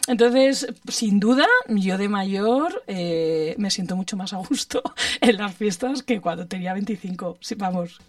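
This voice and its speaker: A middle-aged woman from Spain with a Spanish accent chats candidly at an average pitch. She hesitates, restarts and softens points with little question tags. The sound is dry, with the mic a bit away from her. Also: a little slow, no echo, far from the mic. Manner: hesitant, self-correcting, confiding, question tags. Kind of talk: candid casual conversation.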